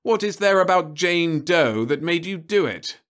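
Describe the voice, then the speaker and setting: A man's voice: fairly high pitch, expressive, measured pace, a bit bright, pitched above the performer's own voice. A man voicing a blustering older male official who thunders indignant questions.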